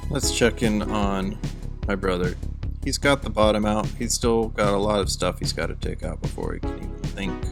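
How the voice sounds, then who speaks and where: Low-voiced man narrating over music. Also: sing-song, moderate pace, creaky. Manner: wry, jokey. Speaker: DIY car vlog host. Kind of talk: casual how-to vlog narration. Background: background music.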